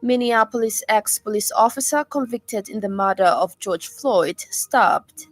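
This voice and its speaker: A woman newsreader delivers a scripted radio bulletin in a Zambian accent. Her voice sits at a medium pitch, and she reads formally, with a measured delivery. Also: soft background music. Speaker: radio news reader.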